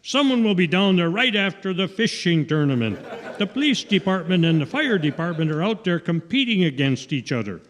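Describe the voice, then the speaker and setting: A man's voice: high-pitched, very animated, brisk, a bit bright. A man playing a skeptical, unhelpful small-town emergency dispatcher in an old-time radio comedy sketch, with a folksy, questioning manner. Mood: unhelpful, matter-of-fact. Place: theatre stage. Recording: echoey theatre hall with long reverb.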